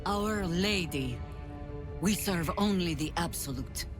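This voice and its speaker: A woman's voice: on the low side, animated, takes it slowly, over music. An actress playing a stern, battle-hardened woman leader in a video game, speaking in imperious, dramatic commands.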